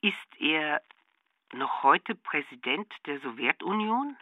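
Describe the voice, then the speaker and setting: Sober interviewer, dull dry sound. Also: female voice, low-pitched, expressive, slow, no echo. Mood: probing. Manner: short, pointed questions. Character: probing interviewer, sober and curious. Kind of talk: radio play interview dialogue.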